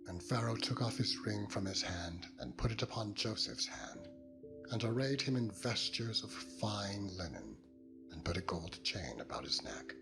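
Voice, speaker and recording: Male scripture narrator, solemn, gravelly, expressive swings in pitch, dramatic delivery, loud music behind. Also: normal speed. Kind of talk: dramatized King James Bible reading.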